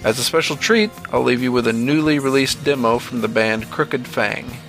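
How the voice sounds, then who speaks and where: Gravelly man narrating a horror podcast. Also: mid-range voice, normal speed, fairly bright tone, faint music behind, poor audio. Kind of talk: scripted narration.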